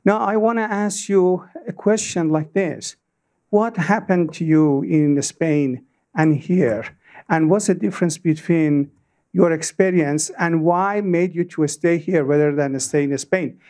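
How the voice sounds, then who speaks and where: Older man asking a halting question. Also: on the high side, a little mellow. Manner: halting, searching for words. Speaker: audience member asking a question. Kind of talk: question from the floor. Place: meeting room.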